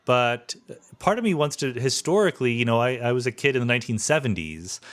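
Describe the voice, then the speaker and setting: A man's voice: mid-range voice, lively intonation, fairly quick, a bit bright. A man in his fifties from the US East Coast with an American accent, hosting a conversational interview and thinking aloud as he frames questions. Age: 50s. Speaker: podcast host.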